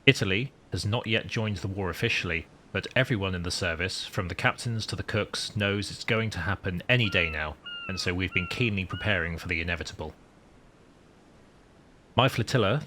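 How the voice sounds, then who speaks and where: A man's voice: lowish voice, pushed and bright, a little hiss or hum, full character voice. A man voicing a wry, newly promoted wartime submarine commander, narrating his story in formal, dry understatement. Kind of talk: scripted first-person story narration.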